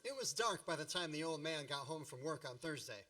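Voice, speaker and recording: A man's voice: a little high, lively intonation, a little fast, bright, forceful tone, recorded from a distance. A man playing a grown-up storyteller who recalls his boyhood in wry, mock-grandiose radio play narration. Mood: nostalgic.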